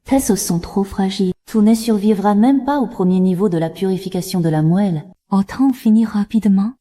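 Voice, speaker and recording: A woman voices a haughty immortal mistress with a dark, soft-edged tone. She speaks with imperious disdain, as a cultivation master in an animated fantasy series. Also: medium pitch, a little fast, close to an everyday voice. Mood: cold disdain. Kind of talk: dubbed animated fantasy drama.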